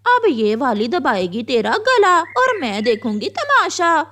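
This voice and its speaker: A woman puts on a defiant cartoon bird lady with a high, swooping voice. She sounds sassy and taunting, taking her time over her words. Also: huge pitch swings, slow, bright, forceful tone, full character voice. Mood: mocking. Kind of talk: Hindi children's cartoon voice acting.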